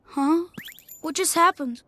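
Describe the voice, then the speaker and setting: High-pitched, timid little boy. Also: takes it slowly, slight background noise, heavily acted. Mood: bewildered. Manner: hesitant, soft-hearted, innocent. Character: gentle, tearful, timid young boy. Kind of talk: animated fantasy game voice acting.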